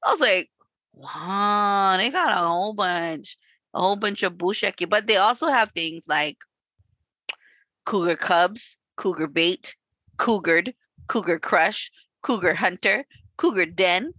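A woman's voice: medium pitch, slow, no room sound, dull audio. Laughing, outspoken woman in her forties. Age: 40s. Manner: laughs often, animated and frank with casual swearing. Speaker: podcast co-host. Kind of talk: casual chat.

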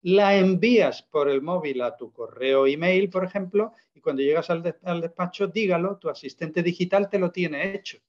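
Persuasive man, slightly high voice. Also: normal speed, few pauses, lo-fi sound. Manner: emphatic, persuasive explaining with rhetorical questions. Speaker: guest presenting a product. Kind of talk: casual interview.

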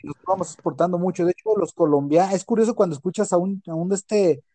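A middle-aged man with a Jalisco Mexican accent, chatting casually as a co-host. He tells stories with lots of energy and coarse slang. His voice sits a little high and sounds warm and mellow. The recording is close and dry. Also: fast talker, dry recording. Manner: animated storyteller who acts out quotes, with crude slang. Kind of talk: casual chat.

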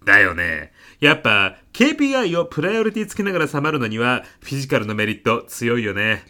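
Man acting a lively, bright middle-aged salesman. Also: medium pitch, fast. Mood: smug, boastful. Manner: polite, hesitant, protesting. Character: earnest, meek middle-aged salesman, easily flustered. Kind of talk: comedic radio drama acting.

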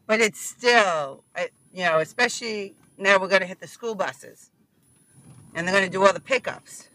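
A hoarse man, chatting as a passenger in a car and laughing often. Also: sits high, normal speed, bright, forceful tone, dry recording, low-quality recording. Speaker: rideshare passenger. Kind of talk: casual chat. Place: inside a car.

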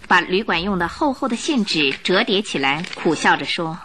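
A woman's voice: fairly low pitch, lively intonation, moderate pace, crowd noise. A woman giving dramatic scripted narration as the storyteller of a radio drama.

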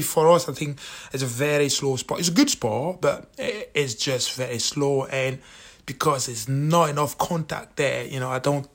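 A man from Cameroon with a Cameroonian accent, talking casually through a countdown list, animated and full of fillers and restarts. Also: on the high side, gravelly. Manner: animated, repeats himself, many fillers. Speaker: vlogger.

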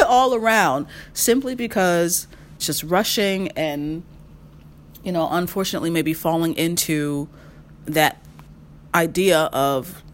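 Emphatic woman in her thirties giving advice. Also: a little hiss or hum. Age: 30s. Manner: emphatic, repeats key phrases, calls listeners honey; animated swings in pitch. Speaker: podcast host. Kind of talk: solo advice monologue.

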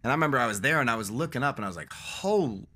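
Guest musician, male, bright tone, rambling and emphatic delivery. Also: mid-range voice, animated, racing. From Las Vegas. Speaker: band member guest. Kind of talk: casual podcast chat.

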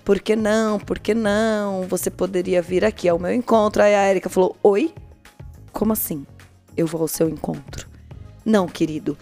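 A Brazilian woman tells a story in a loose, chatty way, at an average female pitch. She is playful and colloquial, slipping into the characters' lines as she narrates. Music plays softly behind her. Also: normal speed. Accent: Brazilian Portuguese. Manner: colloquial, playful, acts out quoted dialogue. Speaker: podcast host telling listeners' dating stories. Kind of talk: casual comic storytelling chat.

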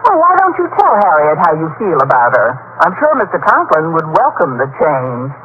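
A woman playing a wry, wisecracking high school teacher with dry delivery in old-time radio comedy. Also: wildly swooping pitch, normal speed. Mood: dry, patient. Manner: dry, sardonic. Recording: dull, faintly noisy old radio recording.

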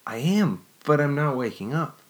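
A man reading aloud, voicing an excitable, dreamy young woman with a high, breathless, stammering voice. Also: normal speed. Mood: wonderstruck.

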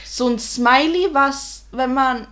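Giggly high bright young woman. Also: slow. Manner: giggly, chatty, laughs often. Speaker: co-host. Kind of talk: casual chat.

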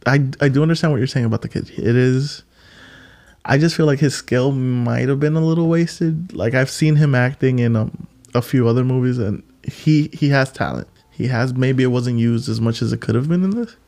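A man co-hosting a casual movie podcast, animated and playful, often jumping in over his partner. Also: mid-range voice, moderate pace, creaky. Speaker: podcast co-host.

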